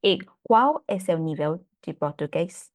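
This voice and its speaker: Woman host, lower voice, dry close sound, video call, attentive, many short murmurs. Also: lively intonation, slow pace, no room sound. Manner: attentive listener, frequent backchannel murmurs. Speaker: host, language learner. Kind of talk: casual conversation.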